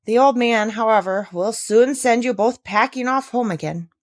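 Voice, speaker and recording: A woman playing a nosy, exclamatory village gossip, lively pitch swings. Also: moderate pace, no echo, studio quality, close to an everyday voice. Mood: alarmed, scolding. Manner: exclamatory, emphatic, gossipy questioning. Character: talkative, nosy village woman, alarmed and inquisitive. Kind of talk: storybook character reading.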